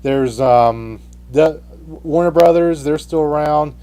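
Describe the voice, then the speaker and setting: A man hosting an unscripted radio debate, speaking haltingly and often restarting his phrases. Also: a little high, warm tone. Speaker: radio show host.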